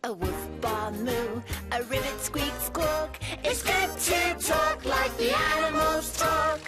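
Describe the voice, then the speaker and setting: Girl monster who chats with animals, very high, bright, swooping voice, music behind. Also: big pitch swings, slow pace, heavily acted. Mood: singing. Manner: mimics animal noises. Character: young female monster who talks to animals. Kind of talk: puppet show acting and singing. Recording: bouncy children's music behind.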